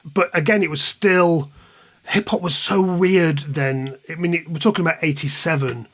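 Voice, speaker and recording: A man's voice: fairly high pitch, steady tone, normal speed, a bit bright. A man in his fifties with an English accent, chatting anecdotally and with self-deprecation as an interview guest. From England, East Midlands. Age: 50s. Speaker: guest, music journalist and author. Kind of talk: casual reminiscing in an interview.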